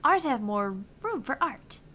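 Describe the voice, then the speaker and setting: A woman's voice: high voice, big pitch swings, measured pace, sharp tone, slight background noise, full character voice. A woman voicing a cheerful, easily flustered young female arcade technician in a visual novel, chirpy and stammering. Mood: geeky, explaining. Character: cheerful young female arcade repair techie, eager geek. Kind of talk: visual novel character dialogue.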